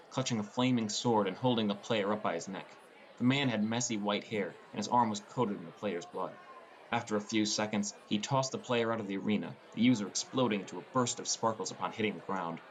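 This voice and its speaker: A man with a fairly low voice narrates scripted fiction in the first person. He reads as an audiobook narrator, telling the story line by line. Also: slight background noise, rough recording.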